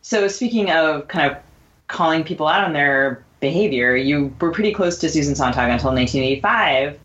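A woman co-hosting a conversational literary interview, putting questions in a measured, articulate way. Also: low-pitched, expressive, fairly bright tone, lo-fi sound. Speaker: podcast co-host.

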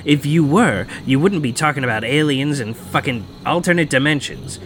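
A man's voice: on the high side, expressive, moderate pace, a bit bright, a little hiss or hum. An actor playing an indignant, menacing man in an audio drama, sarcastic, profane and confrontational as he defends himself. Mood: menacing, scornful. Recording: music playing underneath.

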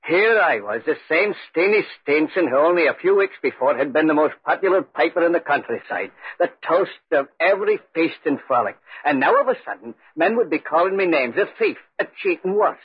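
Husky piper on old radio. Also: male voice, on the high side, lively intonation, moderate pace. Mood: brooding, amazed. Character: aggrieved, stubborn country piper telling his ghostly tale. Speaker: actor and narrator in radio drama. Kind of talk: 1940s radio acting, first-person storytelling. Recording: roomy, muffled 1940s radio recording.

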